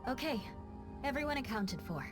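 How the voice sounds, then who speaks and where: A woman voicing a composed, dutiful young female knight in an anime-style game, measured and resolute. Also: sits high, lively intonation, over loud music. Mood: steady, reassuring.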